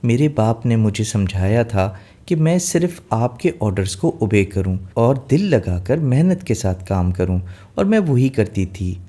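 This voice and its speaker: A man's voice: fairly low pitch, very animated, measured pace, warm tone. A man playing a hardworking, obedient young girl in a storybook tale, polite and willing. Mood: dutiful.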